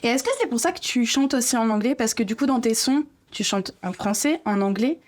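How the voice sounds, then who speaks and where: A woman's voice: fairly high pitch, expressive, fairly quick. A woman interviewer asking questions in a casual, conversational way.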